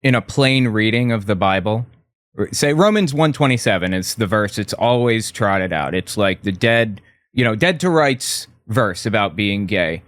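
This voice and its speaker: A man who is a guest author in an interview, explaining in a measured way with occasional hesitant restarts. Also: lowish voice, animated, a bit bright, no room sound, clean studio sound.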